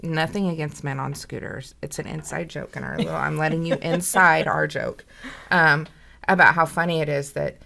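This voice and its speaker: Woman, 40s, co-host. Low gravelly voice, rambling self-mocking humor. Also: fairly even intonation, normal speed, pushed and bright. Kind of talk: casual chat.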